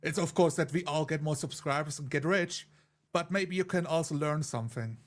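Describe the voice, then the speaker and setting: A man with a slightly high voice talks casually as a player on a tabletop game stream. He is talkative and wry, slipping dry jokes into his explanations. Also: brisk. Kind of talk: casual livestream chat.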